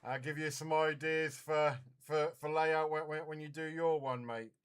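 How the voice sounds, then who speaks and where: A man's voice: fairly high pitch, fairly even intonation, moderate pace, smooth. A man hosting a casual CB radio net, chatting in a friendly, informal way. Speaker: CB radio net controller and live stream host. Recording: very noisy CB radio live stream.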